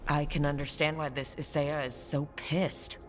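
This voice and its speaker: An actress playing a composed, wry fantasy game hero, with a dry and direct delivery. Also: female voice, low voice, flat delivery, normal speed, slight background noise, close to an everyday voice. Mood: concerned, direct. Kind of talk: video game voice acting.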